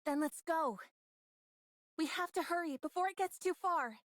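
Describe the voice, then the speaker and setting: A woman's voice: very high-pitched, normal speed, fairly bright tone, dry recording, clean studio sound. A woman playing a timid but stubbornly loyal young female android soldier in an anime game dub, earnest and pleading. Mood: urgent, worried.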